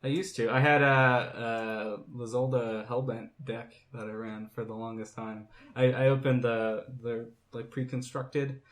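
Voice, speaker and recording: Male co-host, medium pitch, blunt and jokey, chatting off the cuff. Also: steady tone, a little slow, hardly any pauses. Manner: blunt, sweary, joking. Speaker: podcast co-host. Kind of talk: casual chat.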